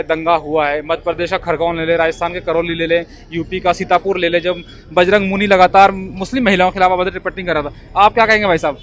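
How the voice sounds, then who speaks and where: A man's voice: high-pitched, fairly bright tone. A man working as a street news reporter, putting questions to passers-by. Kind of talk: vox pop interviewing on a current issue. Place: outdoors on a city street. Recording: outdoor street with traffic behind.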